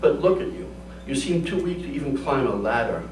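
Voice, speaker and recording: A man's voice: mid-range voice, lively intonation, a little fast, background noise, lightly acted. A wary, pitying wife voiced by a man reading aloud speaks pointedly to her returned husband in a literary story. The voice sits a little off the mic in a roomy space. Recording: roomy live event, distant mic.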